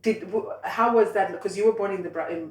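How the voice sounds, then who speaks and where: A woman with a Swahili-influenced accent hosts a relaxed interview, talkative and encouraging, filling gaps with quick agreement. Her medium-pitched voice sounds roomy, with plenty of reflection. The mic sits a little away from her. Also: distant mic. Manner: chatty, encouraging, many agreeing fillers. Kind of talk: casual conversational interview.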